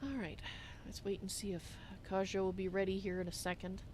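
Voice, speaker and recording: A woman's voice: medium pitch, level tone, a little fast, darkish tone, natural voice. Woman playing a chatty cat-folk adventurer, noisy background. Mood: casual, friendly. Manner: speaks of self in third person. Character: female Khajiit cat-folk adventurer, polite, chatty, persuasive. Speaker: roleplay streamer. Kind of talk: improvised in-character fantasy game roleplay.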